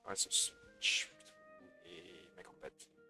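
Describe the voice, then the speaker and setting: A man giving casual let's play commentary on a game, muttering his thoughts aloud. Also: normal speed. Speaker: game streamer. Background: loud game music.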